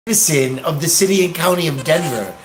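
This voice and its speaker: An American man rambling casually on a livestream, hesitant and pausing often as he talks. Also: a little high, animated, a little hiss or hum. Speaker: livestream host, activist.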